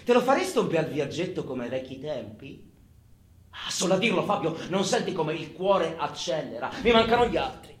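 Taunting criminal, highly animated. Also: male voice, moderate pace. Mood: needling, nostalgic. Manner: sneering, foul-mouthed, volatile. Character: taunting, possessive male criminal companion, mocking and menacing. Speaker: stage actor. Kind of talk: theatrical monologue. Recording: echoey room, music under the voice.

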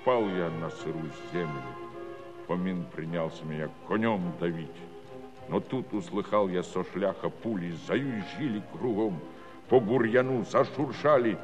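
A man's voice: low-pitched, in a large hall, music in the background. An actor playing a proud, earnest village chairman, telling his story in a folksy in a stage musical. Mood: dramatic, urgent. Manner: folksy rural storytelling with dramatic pauses. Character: Cossack village chairman recounting a bandit attack, earnest and proud. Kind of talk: theatrical dramatic monologue in a musical play. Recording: 1979 studio musical recording.

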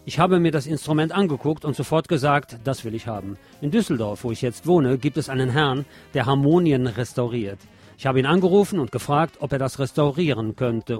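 A man's voice: mid-range voice, a little mellow. A man hosting a radio programme, presenting and reading aloud in a formal, courteous manner. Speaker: radio show host. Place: radio broadcast.

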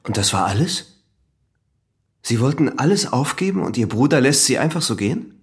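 An actor playing a methodical examining magistrate in a radio drama, questioning in a measured, formal, insistent way. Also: male voice, mid-range voice, very animated. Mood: probing, controlled.

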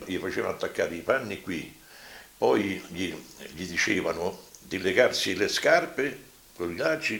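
A man voicing an elderly former deportee with a gravelly voice and Roman accent, giving halting spoken testimony. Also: lowish voice, fairly bright tone, heavily acted. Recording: roomy, echoing voice.

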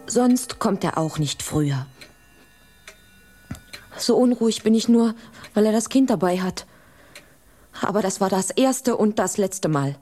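A woman's voice: fairly low pitch, warm tone, a little hiss or hum. A woman playing a tender, protective, anxious fisherman's wife in a children's radio play, with a fretful, pleading delivery.

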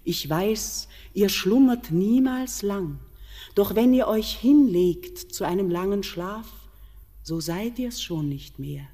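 Dark, echoing mountain queen. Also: medium pitch, animated. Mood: solemn horror, longing. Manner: solemn, measured, otherworldly declamation. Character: ageless, lonely, yearning queen of the mountain. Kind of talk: classic German radio verse drama, declaimed. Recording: long cavernous reverb.

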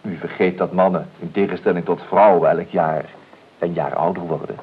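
A man's voice: sits low, steady tone, moderate pace, husky. An actor playing a wry, evasive nephew in his thirties in a radio play, drily polite and ironic. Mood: drily teasing. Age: 30s. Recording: muffled old radio recording, faint noise.

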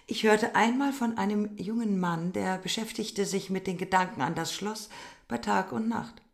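An actress in a radio drama playing a proud, aloof youngest sister, speaking with cool irony. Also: female voice, medium pitch, moderate pace, lightly acted. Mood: mocking. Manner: ironic yet serious, cool.

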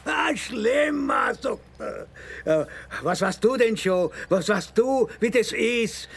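A bitter, vengeful father in a radio play, his voice high and gravelly, breathy at the edges. His pitch leaps and drops wildly as cold menace tips into raging grief. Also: expressive, takes it slowly, fairly bright tone, exaggerated voice. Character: vengeful grieving father, middle-aged man, bitter. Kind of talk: radio drama acting.

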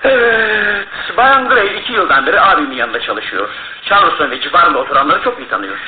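An earnest, well-bred gentleman in an old radio play. His voice sits a little high and moves with lively inflection, heard through a tinny, roomy recording. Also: a little fast. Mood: helpful. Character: earnest, well-spoken wealthy gentleman, eager to act. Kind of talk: Turkish radio theatre acting. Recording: phone-like noisy old radio, roomy echo.

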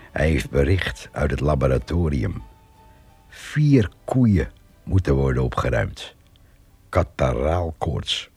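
An actor playing a gossipy older countryman in a radio drama monologue, gravelly and husky, with a slow, folksy, musing delivery. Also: rumbling low, darkish tone, no room sound, exaggerated voice.

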